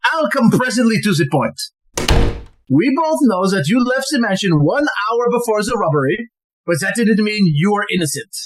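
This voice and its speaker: An actor playing a cunning, smug blackmailer in an audio play, insinuating and coolly threatening. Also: male voice, high voice, big pitch swings, moderate pace. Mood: smug, accusing. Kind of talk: stage-like audio drama acting.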